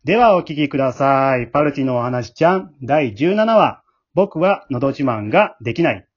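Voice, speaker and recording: A man's voice: medium pitch, expressive, normal speed, dull audio, slight background noise. A man hosting an amateur theatre group's radio drama show, introducing it in a polite, chatty way. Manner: polite, casual.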